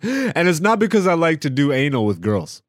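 Animated comedian riffing solo on his podcast. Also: on the high side, normal speed, no room sound, studio quality. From born in Greece. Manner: brash, irreverent, slangy, swearing freely. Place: home studio.

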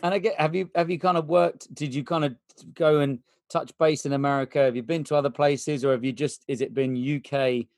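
A man's voice: fairly high pitch, fairly even intonation, brisk, no room sound, clean studio sound. A man hosting a podcast, asking long, winding questions with lots of fillers. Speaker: podcast host. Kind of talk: casual interview chat.

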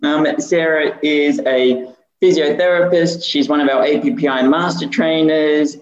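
A man's voice: a little high, reverberant, lo-fi sound. A man hosting a podcast, introducing a guest in an enthusiastic, upbeat way. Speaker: podcast host.

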